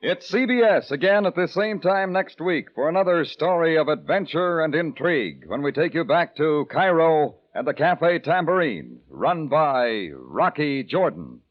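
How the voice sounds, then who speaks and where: A man's voice: a little high, expressive, normal speed, few pauses. A man reading a scripted sign-off as the announcer of an old-time network radio show. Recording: dull old radio broadcast with music.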